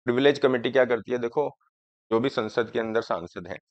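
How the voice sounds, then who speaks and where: A man in his thirties teaching an exam prep class, with a hoarse throat from a cold, slowly explaining each point and checking that students follow. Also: medium pitch, no echo. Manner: explaining slowly, often asking students if it is clear. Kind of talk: lecture on current affairs, Hindi mixed with English. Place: studio classroom, in front of a screen. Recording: dry, slightly dull sound.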